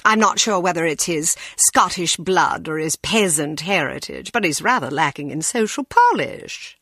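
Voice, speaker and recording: An actress playing a haughty, coolly cruel aristocratic villainess with a condescending, theatrical drawl in a video game. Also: female voice, low-pitched, expressive, moderate pace, bright, forceful tone, dry recording, studio quality, full character voice. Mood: mocking.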